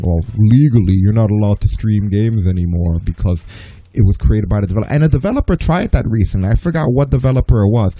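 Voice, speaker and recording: Podcast host, young adult man, very dark mellow tone, emphatic and opinionated debater. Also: on the low side. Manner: emphatic, opinionated, argues with rising voice. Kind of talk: heated casual podcast chat.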